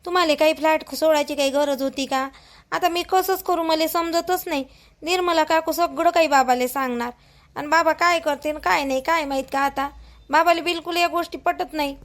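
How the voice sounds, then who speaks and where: A woman plays an anxious young village wife in a homemade cartoon. Her voice is extremely high, and she pleads and protests in a whining way with a rural Vidarbha Marathi accent. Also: steady tone, fairly quick. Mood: worried, reproachful. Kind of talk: amateur cartoon dialogue in rural dialect.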